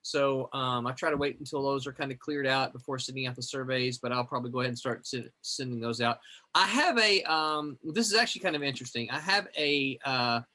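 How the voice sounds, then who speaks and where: A man co-hosting a casual livestream chat, talking in a halting way with many hesitations. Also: medium pitch, sharp tone. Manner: halting, lots of filler hesitations. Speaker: co-host.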